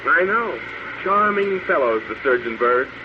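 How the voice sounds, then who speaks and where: A man's voice: a little high, sing-song, a little slow. An actor playing a smug, crooked space policeman who taunts with mock friendliness in an old-time radio drama. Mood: amused, gloating. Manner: taunting, mock-friendly, savors his words. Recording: muffled noisy old radio recording.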